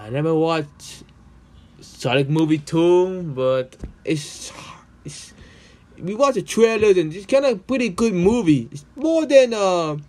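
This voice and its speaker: A man talks casually as the host of his own video. His voice is high and very lively in pitch, and he speaks haltingly, repeating words. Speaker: amateur voice dubber, video host. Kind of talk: casual unscripted chat.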